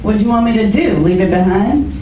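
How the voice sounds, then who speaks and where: A woman's voice: mid-range voice, lively intonation, fairly quick, mellow, lightly acted. A woman playing a wisecracking, worried crook's girlfriend in an old-style radio crime drama, dry and sarcastic. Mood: wary. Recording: echoey, distant, noisy hobby radio recording.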